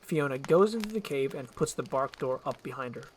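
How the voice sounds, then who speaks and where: A woman reading scripted stage directions as narrator, in a low-key, soft delivery. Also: lively intonation, brisk, slight background noise. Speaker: narrator and voice performer.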